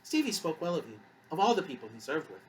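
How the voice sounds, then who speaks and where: A man voicing a kindly elderly woman, a gentle and talkative grandmother in an audiobook story. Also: on the high side, big pitch swings, quick pace, recorded from a distance, a little hiss or hum, voice pushed up, low-quality recording. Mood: fond, chatty.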